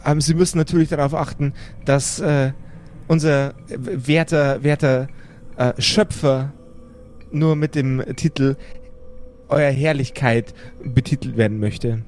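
A man playing a fussy, formally polite zombie official in a tabletop audio play, speaking haltingly and deferentially. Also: medium pitch, fairly even intonation, a little mellow, faint music behind, close to an everyday voice.

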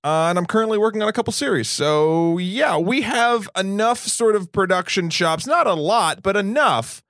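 A man's voice: high-pitched, lively intonation, moderate pace, few pauses, a bit bright, no room sound, clean studio sound. A man from Massachusetts hosting a casual podcast chat, leading the talk with jokes and plenty of fillers. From Massachusetts, United States. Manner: jokey, leads the talk, many fillers. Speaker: podcast host. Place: small room.

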